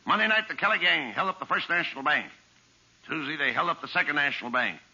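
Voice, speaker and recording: An actor playing a flustered, bumbling police lieutenant with a gravelly, excitable voice in 1940s radio comedy style. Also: male voice, fairly high pitch, fast, heavily acted. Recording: dull old radio transcription.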